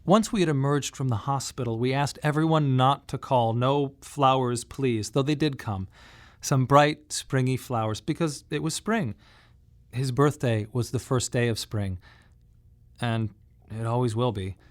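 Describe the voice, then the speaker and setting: A man delivering scripted dramatic narration in a radio monodrama, reflective and measured, with emphatic pauses. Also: mid-range voice. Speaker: playwright performing his autobiographical monologue.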